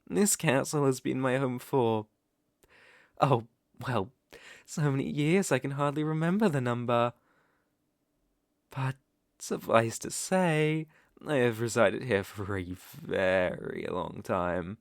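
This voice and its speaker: A man's voice: mid-range voice, dry recording, clean studio sound. A man with a British accent playing a centuries-old aristocratic vampire lord in an ASMR roleplay, suave, predatory and teasing, with formal, theatrical phrasing. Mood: suave, nostalgic. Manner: silky, theatrical, teasing and formal with lingering pauses.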